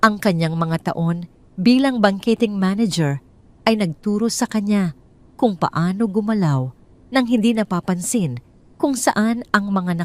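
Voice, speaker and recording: A Filipino woman narrates a scripted story. Her voice sits a little low for a woman, and she delivers the text as dramatic storytelling. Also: darkish tone.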